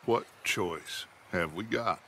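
A man's voice: low voice, slow, fairly bright tone, full character voice. A man voicing a commanding, theatrical middle-aged outlaw gang leader, persuasive and rhetorical, in a dramatic game cutscene. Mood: insistent.

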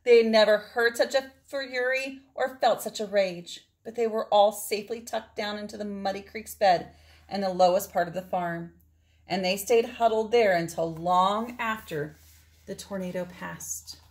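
A middle-aged woman reads a children's storybook aloud, with dramatic phrasing and drawn-out words for emphasis. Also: medium pitch. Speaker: storybook reader. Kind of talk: expressive read-aloud of a children's picture book.

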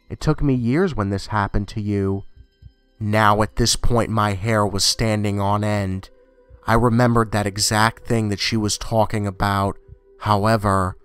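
A man's voice: lowish voice, fairly bright tone, clean tone, dry recording. A man reading a scripted first-person scary story as a horror narrator.

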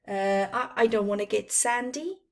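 A woman's voice: mid-range voice, moderate pace, natural voice. A woman voicing a shy, anxious male camel in a storybook, hesitant and stammering out reluctant excuses.

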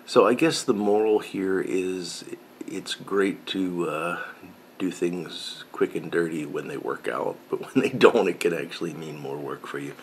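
A man's voice: low-pitched, a little hiss or hum. A middle-aged man chatting casually to camera from his home workshop, rambling and often correcting himself. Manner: rambling and self-correcting, with frequent fillers. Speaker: pipe-smoking woodworking channel host. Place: home workshop with pegboard of tools.

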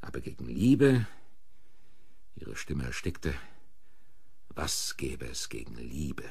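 An actor playing a bitter accused man who narrates a German thriller in the first person, husky, brooding and deliberate. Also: very deep voice, very animated, darkish tone, no room sound, studio quality, exaggerated voice.

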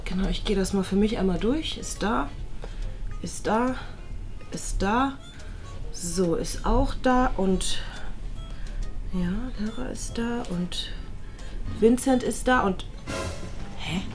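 A man playing a formal, stern female maths teacher with a halting delivery in a comedy skit. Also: sits high, a bit bright, full character voice. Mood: businesslike. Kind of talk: exaggerated family comedy skit acting. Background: background music.

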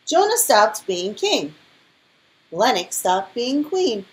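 Woman reading a storybook, highly animated. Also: slow. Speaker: storybook reader. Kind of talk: expressive children's read-aloud.

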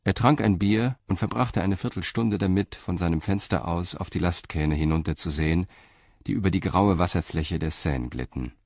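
A man narrates a radio crime drama in a very deep voice. His delivery is measured and even. The recording is dry and close. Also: no echo.

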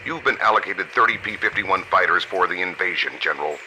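Brisk HQ radio officer. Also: male voice, deep voice, sharp tone. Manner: brisk status reports. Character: military headquarters radio officer. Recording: noisy battlefield game audio.

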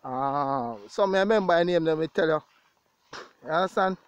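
A man's voice: fairly high pitch, moderate pace, clear, resonant tone, dry recording. A man with a Jamaican accent talking casually to viewers as a farmer vlogging outdoors, repeating phrases for emphasis. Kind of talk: casual vlog talk to viewers. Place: outdoors in an open grassy pasture.

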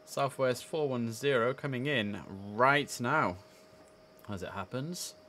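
A man's voice: mid-range voice, slow pace, bright, forceful tone, a little hiss or hum. A man in his thirties giving casual live game commentary, chatty, switching between asides and formal controller calls. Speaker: streamer playing an air traffic controller. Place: indoor room, live stream.

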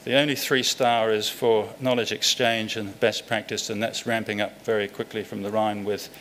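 A man's voice: on the low side, little pitch movement, moderate pace, a bit bright. A middle-aged man giving a conference keynote from a podium in a large lecture hall, explaining steadily with frequent ums and uhs. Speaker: keynote speaker.

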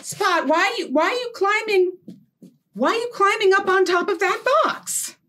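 A middle-aged woman hosting a storytime for young children, talking in an animated way with simple questions and playful surprise. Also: very high-pitched, normal speed. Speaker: children's librarian and storytime host. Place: small indoor set with felt board and book cart.

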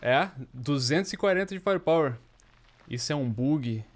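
Brazilian man gaming, slightly high voice. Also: lively intonation, normal speed, a little hiss or hum. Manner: slangy, exclamatory, swearing. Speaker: gaming streamer. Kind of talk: casual live game commentary. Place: small indoor room. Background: video game soundtrack and effects.

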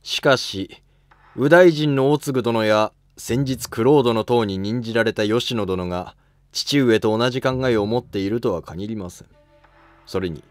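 A man's voice: medium pitch, no echo, lightly acted. A man voicing an ambitious young nobleman son in a historical drama, polite but cutting, self-assured and defiant. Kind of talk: amateur historical drama dialogue.

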